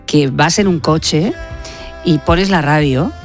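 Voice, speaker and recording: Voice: medium pitch, takes it slowly. Upbeat radio host over music. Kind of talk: radio presenting.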